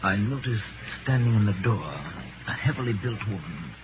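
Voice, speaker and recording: A man playing a calm, grave narrator in a scripted 1930s radio drama. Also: on the low side, normal speed, natural voice. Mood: grave, hushed. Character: calm, grave male storyteller of a radio play. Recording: tinny, noisy 1938 radio recording.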